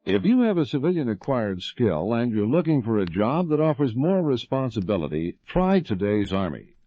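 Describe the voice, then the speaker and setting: A man's voice: mid-range voice, big pitch swings, normal speed, dry recording. An American man reading scripted announcements as a radio host. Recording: dull band-limited vintage radio recording.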